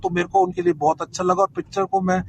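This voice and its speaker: A middle-aged man with an Indian accent chatting reflectively as a guest, halting and repeating words. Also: high-pitched, monotone, gravelly. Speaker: actor, guest panellist. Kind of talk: casual reflective chat. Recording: noisy online audio.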